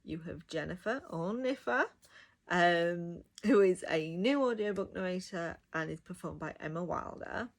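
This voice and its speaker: A woman's voice: fairly low pitch, unhurried. A woman giving a casual, enthusiastic review, talking directly to viewers. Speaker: audiobook reviewer.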